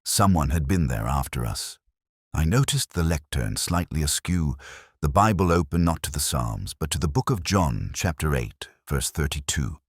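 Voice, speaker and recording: A world-weary, erudite detective inspector played by a man narrates a mystery drama in a very deep voice. The delivery is brooding and measured, with literary phrasing and plenty of melodic rise and fall. The sound is dry and close, with no room around it. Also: expressive, husky, no room sound, heavily acted. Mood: brooding, reflective. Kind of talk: scripted first-person mystery narration.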